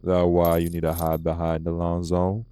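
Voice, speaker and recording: A man playing a rough, defiant old vampire, blunt and taunting, in an audio drama. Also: deep voice, monotone, moderate pace, mellow, faint music behind, lightly acted. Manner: blunt, drawling, taunting, colloquial. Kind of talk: dramatic audio roleplay acting.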